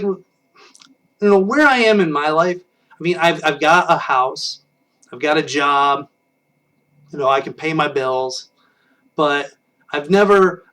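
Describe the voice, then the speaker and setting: A man in his late thirties chats with his viewers from home, his voice sitting a little higher than most men's. He rambles and restarts his sentences, poking fun at himself as he goes. Now and then he drifts away from the webcam mic, so his voice sounds distant. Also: fairly bright tone. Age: 30s. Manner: rambling and self-deprecating, many restarts. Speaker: live stream host. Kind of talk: casual chat with viewers.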